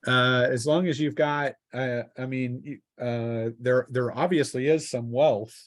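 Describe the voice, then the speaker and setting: A man acting as game master in casual tabletop role-playing talk, thinking aloud in a halting way. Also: medium pitch, fairly even intonation.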